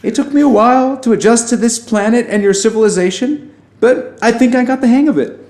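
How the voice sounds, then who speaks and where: A man's voice: very high-pitched, brisk, a little mellow. A man playing an affable, nervous alien who poses as human, a pacifist pessimist speaking in wry, rambling, conversational asides in an audio drama. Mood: wry, confiding. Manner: informal, rambling, self-interrupting, wry asides. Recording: roomy echo, music underneath.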